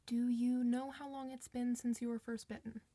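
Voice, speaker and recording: A woman's voice: fairly high pitch, little pitch movement, dark tone, no room sound, close to an everyday voice. A woman playing a friendly, reassuring doctor for werewolves in an informal, one-sided ASMR roleplay. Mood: calm, reassuring. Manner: reassuring, informal, hesitant pauses.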